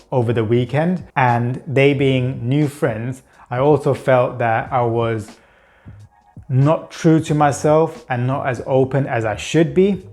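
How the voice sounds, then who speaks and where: A man's voice: medium pitch, darkish tone. A young man hosting a personal vlog, talking earnestly about his week, with repeated words and occasional blocks from a stutter. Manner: reflective and earnest. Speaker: channel host.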